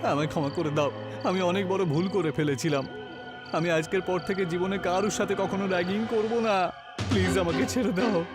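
A man voices a young male student in a horror cartoon, agitated and quick to plead or protest. The pitch sits on the high side. A loud music bed runs beneath. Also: steady tone, lightly acted. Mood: terrified pleading. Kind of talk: animated horror story dialogue.

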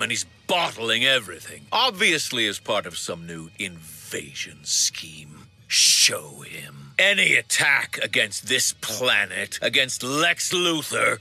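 Arrogant, gravelly villain mastermind. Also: male voice, lowish voice, pushed and bright, heavily acted. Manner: haughty, disdainful, deliberate. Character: Lex Luthor, arrogant commanding villain mastermind. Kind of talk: video game character acting.

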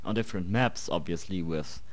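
Slightly hoarse low-voiced young man. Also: a little fast. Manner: relaxed, chatty, a bit rambling. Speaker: let's play host. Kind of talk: casual gameplay commentary.

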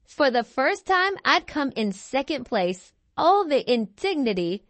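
A woman plays a bragging cheese wheel from a storybook, high and swooping in pitch. The delivery is theatrical and melodramatic, with drawn-out emphatic words, on a dry, close recording. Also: very animated, a bit bright, dry recording, heavily acted. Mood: indignant. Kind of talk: expressive children's picture book read-aloud.

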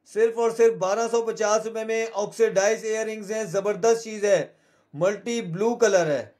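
A man's voice: sits high, fairly even intonation, normal speed, a little mellow. A man with a Pakistani accent hosting a live shopping show, selling in a repetitive, urging salesman's manner.

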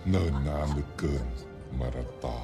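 A man voicing a proud, menacing male demon king in a Thai dub of an animated fantasy film, talking in a low, gloating, commanding way. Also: moderate pace. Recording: film mix with music and long reverb.

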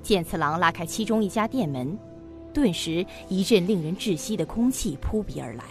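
A woman's voice: lowish voice, lively intonation, normal speed, faint music behind. A woman narrator reading scripted narration for a suspense audio drama.

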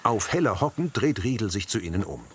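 A man reading scripted audio description of scenes in a measured way. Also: on the low side, sing-song, heavy background noise.

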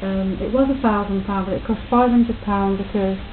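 A young woman chatting casually and self-deprecatingly in her living room, talking quietly into a built-in webcam mic. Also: steady tone, brisk. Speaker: home video maker. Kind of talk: casual home video chat. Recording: tinny low-quality webcam audio with faint hiss.

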